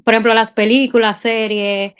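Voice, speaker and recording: A woman's voice: on the high side, level tone, moderate pace, smooth. A young woman with a Dominican accent, an incoming medical resident, explaining step by step in a casual panel chat. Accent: Dominican Spanish. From Dominican Republic. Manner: explanatory, step-by-step, many fillers.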